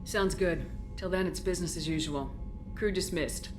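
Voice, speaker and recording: Low-voiced, commanding female officer acted. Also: moderate pace, natural voice. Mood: decisive. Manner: firm, direct, questioning. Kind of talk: video game character acting. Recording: game music behind the voice.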